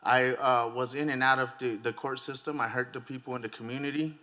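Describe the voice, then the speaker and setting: A man in recovery answering a panel question in a candid, halting way, full of fillers. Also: medium pitch, steady tone, pushed and bright. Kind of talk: panel answer at a public forum.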